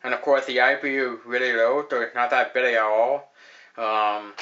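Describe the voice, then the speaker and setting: A man's voice: mid-range voice, flat delivery, moderate pace, sharp tone, lo-fi sound. A young man hosting a casual beer review at a table in a small room, rambling with lots of fillers and repeating "actually". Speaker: host of a beer review show.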